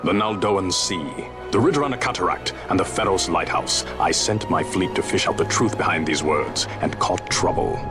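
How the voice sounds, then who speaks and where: A man voices a commanding sky pirate from a fantasy game. He is very deep, brusque and theatrical, with old-fashioned phrasing. A loud score plays behind him. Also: moderate pace, music in the background, lightly acted. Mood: measured, frank. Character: gruff, blunt, commanding sky pirate fleet leader. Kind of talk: dramatic video game cutscene acting.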